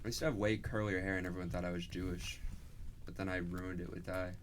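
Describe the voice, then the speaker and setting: Man, low voice, rapid-fire crude jokes, host. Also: little pitch movement, slight background noise. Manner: crude, teasing, fast banter. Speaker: podcast host. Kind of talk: casual chat.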